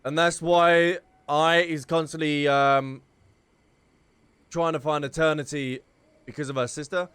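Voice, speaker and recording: A man's voice: fairly high pitch, flat delivery, unhurried, long pauses, fairly bright tone, clean tone, no echo. A man streaming a video game, adding casual, thoughtful asides as commentary. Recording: faint game music behind.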